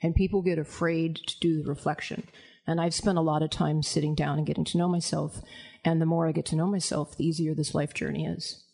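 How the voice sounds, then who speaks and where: Frank deep-voiced woman, gravelly edge. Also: level tone, brisk, a little mellow. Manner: frank, emphatic and self-assured. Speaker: anonymous guest. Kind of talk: candid panel conversation.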